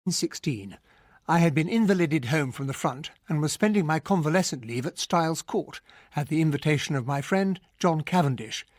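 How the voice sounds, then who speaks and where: An actor playing an earnest English army captain who narrates a radio mystery in a well-spoken, expressive way. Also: male voice, on the high side, moderate pace, dry recording, studio quality. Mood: grave recollection. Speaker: narrator and character in a radio drama. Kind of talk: first-person radio drama narration.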